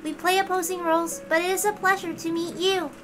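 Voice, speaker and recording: A woman's voice: very high voice, flat delivery, brisk, background noise, voice pushed up. A woman voicing a formal, polite young female heavenly visitor with a stiff, solemn, ceremonious way of speaking.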